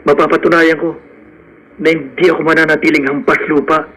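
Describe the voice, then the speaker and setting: A man's voice: fairly high pitch, little pitch movement, brisk, heavy background noise, lightly acted. A man playing a poor, hopeful and determined young man in love, in an earnest radio drama style. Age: young adult.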